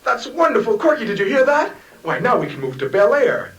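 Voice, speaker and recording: A man's voice: extremely high pitch, very animated, fairly quick, fairly bright tone, lo-fi sound, heavily acted. A man playing a grandiose, hapless uncle with pompous theatrical delivery in a 1950s radio sitcom. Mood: gleeful, grandiose. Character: grandiose, hapless uncle dreaming of sudden riches. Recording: noisy, echoey old radio transcription, distant mic.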